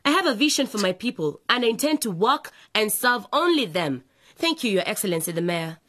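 A woman acting as a young female councillor in a radio drama, formal and unintimidated. Her pitch moves animatedly as she firmly stands her ground. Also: very animated, brisk, very noisy, natural voice. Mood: defiant. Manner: formal, assertive.

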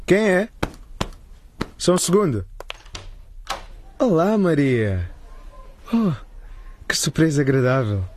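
Smooth-talking young man in a radio drama; animated, coaxing, light noise. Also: fairly high pitch, takes it slowly, long pauses. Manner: coaxing and charming.

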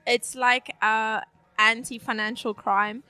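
A woman's voice: fairly high pitch, little pitch movement, slow pace, pushed and bright. A woman, chatting casually as a guest in short, hesitant answers. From South Africa. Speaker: podcast guest. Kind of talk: casual chat. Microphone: shared podcast microphone.

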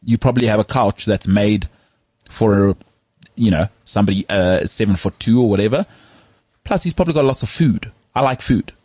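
A man's voice: lowish voice, moderate pace, darkish tone, dry recording. A man co-hosting a podcast, chatting casually and teasing his co-host. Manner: teasing, joking, jumps between topics. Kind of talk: casual chat.

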